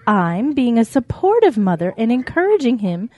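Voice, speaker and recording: A woman's voice: fairly high pitch, very animated, moderate pace, warm tone. A woman voicing a doting, defensive mother cow in an animal audio drama, speaking earnestly.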